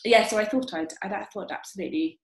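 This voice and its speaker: A woman's voice: mid-range voice, fast. A young woman chats casually as a guest actress in a panel interview. She is hesitant and often corrects herself mid-sentence. Her voice comes through with a roomy echo, as if recorded at home. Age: young adult. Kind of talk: casual panel chat, personal anecdotes. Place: at home on a remote call.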